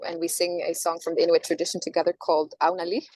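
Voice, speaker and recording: Soft-spoken woman, thoughtful. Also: on the low side, flat delivery, no echo, low-quality recording. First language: Norwegian. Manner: thoughtful, halting, with many restarts and fillers. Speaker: singer, interview guest. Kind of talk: reflective interview answers.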